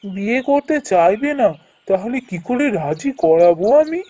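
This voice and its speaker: A man's voice: high voice, sing-song, moderate pace, warm tone, lo-fi sound. A man playing an eager, thrifty young village merchant in a folk-tale cartoon, fretful and exclamatory as he talks.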